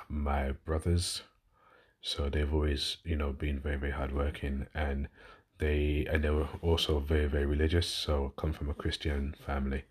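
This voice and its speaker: A man in his thirties with a London accent talks through his own story in a loose, rambling way. He often stops to correct himself and leans on fillers. His voice sits extremely low, with a husky edge and little rise and fall. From London, England. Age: 30s. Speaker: podcast host and fitness coach. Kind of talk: casual personal monologue.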